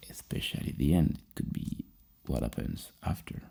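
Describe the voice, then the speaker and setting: A man doing a soft-spoken ASMR roleplay as a psychologist, speaking in a halting, rambling way and often dropping into a whisper. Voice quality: very deep, gravelly, breathy. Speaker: ASMR roleplayer playing a psychologist giving a personality questionnaire.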